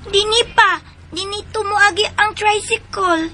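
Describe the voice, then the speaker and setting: A woman's voice: very high-pitched, fairly even intonation, measured pace, sharp tone, exaggerated voice. A woman playing an insistent young girl in a Philippine radio drama, with a Cebuano Filipino accent. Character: young girl searching for her mother, insistent.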